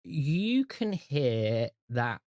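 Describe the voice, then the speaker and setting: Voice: medium pitch, sing-song, extremely slow, darkish tone, dry recording, studio quality. A game master narrating a tabletop role-playing game and chatting with the players.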